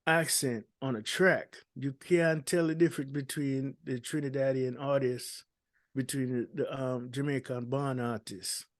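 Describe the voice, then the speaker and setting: Halting man with a dry voice. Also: mid-range voice, fairly even intonation, no room sound. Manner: hesitant, many ums and restarts. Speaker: dancehall artist guest. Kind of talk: casual interview talk.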